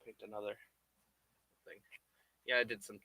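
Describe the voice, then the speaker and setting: Bright-voiced man muttering over his crafts. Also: on the low side, little pitch movement, moderate pace, no echo, low-quality recording. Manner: quiet, muttering to himself while working. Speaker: puppet maker streaming his work. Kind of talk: casual livestream chat while crafting. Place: home work bench.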